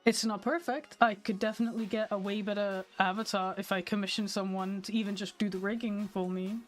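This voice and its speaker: A woman's voice: mid-range voice, steady tone, fairly quick, soft background music. A young adult woman streaming, chatting candidly with her viewers, a little nasal from a cold. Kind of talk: casual stream chat.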